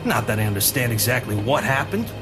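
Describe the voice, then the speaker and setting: An actor playing a weary, wry 1940s police commissioner in an audio drama, dry and grumbling. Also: male voice, medium pitch, big pitch swings, fast talker, over music, close to an everyday voice. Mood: earnest. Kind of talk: naturalistic audio drama acting.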